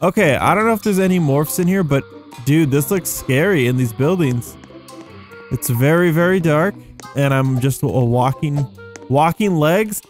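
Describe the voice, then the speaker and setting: A man's voice: on the high side, expressive, normal speed, soft background music. A young adult man giving casual, rambling gameplay commentary, easily amazed and thinking aloud as he hosts. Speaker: gaming video host.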